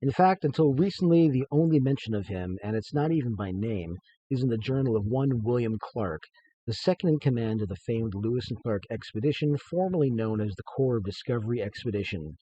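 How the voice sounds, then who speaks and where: A man's voice: mid-range voice, animated, fairly quick, mellow, slightly rough, lo-fi sound. A man giving an informal author's reading of his book, with casual, hesitant asides.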